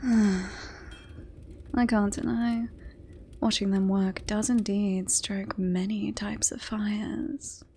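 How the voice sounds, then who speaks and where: Woman playing a slow, sultry flirtatious royal. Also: medium pitch, little pitch movement, dark tone, a little hiss or hum. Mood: wistful, sultry. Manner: teasing, sultry, breathy sighs and whispery phrases. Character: flirtatious, smug, romantic royal teasing a beloved knight. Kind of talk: ASMR roleplay acting.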